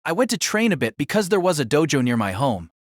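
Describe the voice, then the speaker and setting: A woman voices a reserved young male transfer student in a low, husky register. He is modest and hesitant, yet his pitch moves freely, in dry audio drama dialogue. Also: big pitch swings, fast, dry recording. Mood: modest, guarded.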